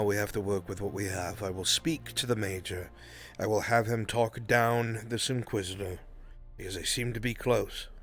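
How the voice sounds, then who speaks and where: A man playing a grave, fatherly older military commander in a fantasy roleplay, speaking in a measured, solemn, counselling way. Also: fairly low pitch, a bit bright. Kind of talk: live fantasy roleplay, improvised dramatic acting. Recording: music behind the voice.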